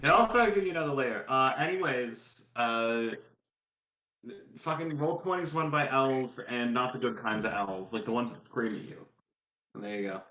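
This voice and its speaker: A man's voice: mid-range voice, normal speed, pushed and bright. A man chatting casually as a tabletop player, joking in a mock-exasperated way. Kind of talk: casual chat. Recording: roomy echo, faint noise, clicks.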